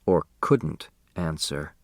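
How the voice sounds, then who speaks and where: A man narrating a novel as an audiobook, in a measured, expressive storytelling manner. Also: rumbling low, no echo, clean studio sound.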